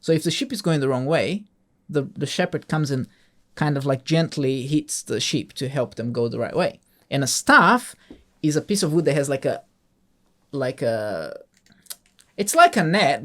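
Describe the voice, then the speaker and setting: A man's voice: a little high, animated, normal speed, long pauses, a bit bright. A man talking casually with a young child about a Bible passage, explaining simply and often asking check-in questions. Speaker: host, parent teaching a child a Bible passage.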